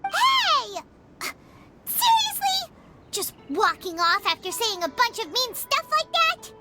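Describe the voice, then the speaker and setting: A woman voicing a tiny, cheeky, excitable fairy companion with a squeaky childlike voice in a video game. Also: slow pace, full character voice. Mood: indignant. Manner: exclamatory, expressive. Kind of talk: animated video game voice acting. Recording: loud music under the voice.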